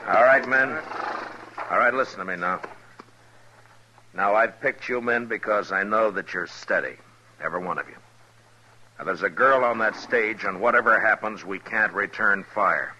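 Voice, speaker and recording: An actor playing a stern, steady Old West marshal in a radio western, speaking in a measured, authoritative, terse way. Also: male voice, fairly low pitch, muffled recording. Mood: firm. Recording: vintage radio recording with faint noise.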